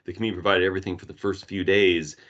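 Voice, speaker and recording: A deep-voiced American man in middle age talks through a webinar talk, relaxed and conversational. His speech meanders with many fillers. The audio comes over a video call, muffled and lo-fi. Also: racing. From Arizona, United States. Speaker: emergency management presenter. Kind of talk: webinar presentation.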